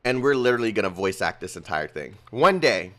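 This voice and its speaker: A young man streaming a game, chatting casually with his viewers in an excitable, rambling way. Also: on the low side, a little fast. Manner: excitable, rambling, talks to chat. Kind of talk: casual livestream commentary.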